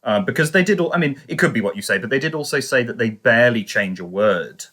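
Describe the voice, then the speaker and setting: A man co-hosting a podcast in casual review talk, tentative and measured, carefully qualifying each point he makes. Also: mid-range voice, animated, pushed and bright. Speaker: podcast co-host.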